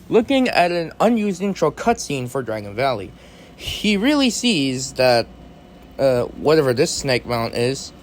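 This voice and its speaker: A man hosting a casual explainer commentary, speaking in halting, choppy phrases. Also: mid-range voice, slight background noise. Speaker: video host.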